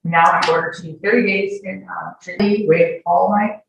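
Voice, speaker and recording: A woman's voice: on the low side, fairly even intonation, normal speed, gravelly. A woman testifying as a witness in a courtroom in an informal, rambling, colloquial way. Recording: echoey courtroom, distant mic, faint noise.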